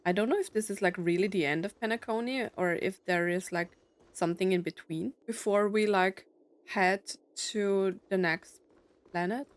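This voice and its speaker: A woman's voice: medium pitch, moderate pace. A young adult woman giving casual let's play commentary, musing aloud with jokey asides. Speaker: gaming streamer.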